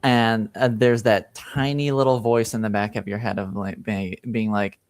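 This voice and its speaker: A man running a tabletop roleplaying game, narrating casually with hesitant pauses between phrases. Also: on the low side, steady tone, dry recording. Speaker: game master.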